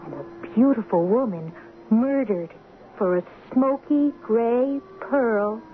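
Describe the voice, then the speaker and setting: An actress playing a dreamy, wide-eyed young heroine in a radio drama, speaking with entranced wonder. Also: female voice, fairly high pitch, lively intonation, slow. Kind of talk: 1970s radio drama acting, narrating a vision. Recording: lo-fi old radio broadcast, clear noise.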